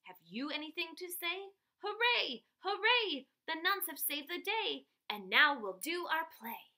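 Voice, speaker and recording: A woman's voice: very high voice, big pitch swings, unhurried, sharp tone, pitched above the performer's own voice, heavily acted. A woman voicing a playful seven-year-old girl in a storybook, exclaiming in sing-song rhyme. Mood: triumphant, playful.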